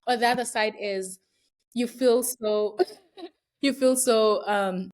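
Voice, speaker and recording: A woman's voice: a little high, slow pace, a little mellow. A young woman with a Kenyan accent, a university student talking casually and reflectively as an interview guest, with frequent fillers. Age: young adult.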